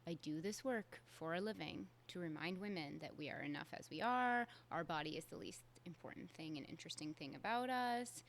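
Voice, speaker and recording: A woman's voice: mid-range voice, a little hiss or hum. A young adult woman, hosting a solo podcast in a candid, conversational way with casual fillers. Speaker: podcast host.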